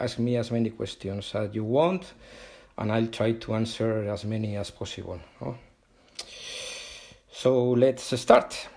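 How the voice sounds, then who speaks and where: A man's voice: mid-range voice, a little mellow. A middle-aged man from Spain with a Spanish accent, English not his first language, giving a virtual company talk with many "uh" and "okay?" fillers and restarts. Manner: hesitant, frequent "uh" and "okay?" tags, self-correcting. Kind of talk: live online presentation.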